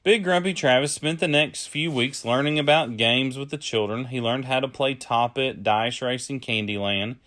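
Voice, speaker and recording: Guy doing story time for little kids, medium pitched voice, deliberate phrasing. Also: fairly bright tone. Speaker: storyteller, early childhood educator. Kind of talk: children's storybook read-aloud.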